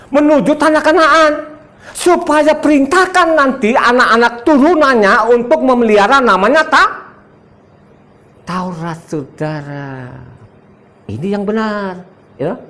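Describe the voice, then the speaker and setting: A man's voice: extremely high pitch, big pitch swings, measured pace, a bit bright. A man in his seventies from Sumba, speaking. He preaches a combative, boastful sermon, laughs often and quizzes his listeners. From Sumba, Indonesia. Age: 70s. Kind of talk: sermon to a congregation.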